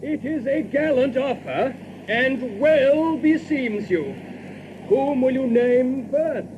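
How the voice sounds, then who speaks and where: Medieval lord, formal archaic declamation, unhurried and high, audiobook drama with muffled sound. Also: male voice, animated, background noise, full character voice. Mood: formal, approving.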